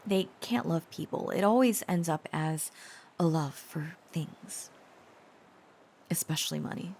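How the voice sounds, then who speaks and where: A woman playing a tender, protective dragon-blooded girlfriend in an intimate romantic audio roleplay, halting and earnest, often slipping into whispers. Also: sits low, gravelly, darkish tone, exaggerated voice. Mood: earnest, confessional. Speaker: voice actor.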